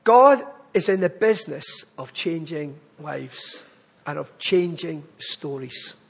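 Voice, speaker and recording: A man's voice: fairly high pitch, expressive, slow, gravelly, husky, big-room sound. A man with a Glaswegian accent giving an earnest, pleading charity appeal in church. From Scotland. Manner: earnest, pleading, building to emphatic lists.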